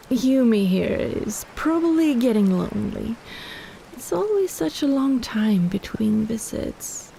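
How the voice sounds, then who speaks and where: A woman's voice: mid-range voice, vocal fry, warm tone, slight background noise, rough recording. A woman playing a possessive, teasing kraken in a roleplay audio drama, cooing and bossing like a doting big sister. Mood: smug, teasing.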